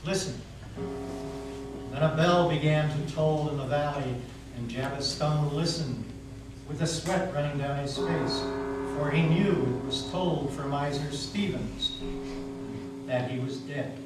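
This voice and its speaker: A man's voice: a little high, fairly even intonation, unhurried, over loud music. A man reading a story aloud as a narrator in a dramatic stage reading. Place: public meeting house, indoors. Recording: very echoey hall, distant mic, clear noise.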